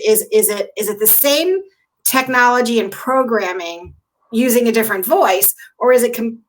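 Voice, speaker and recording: A woman's voice: a little high, poor audio. A woman hosting a casual chat who asks questions, often restarting them partway through. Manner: restarts questions mid-sentence. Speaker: host.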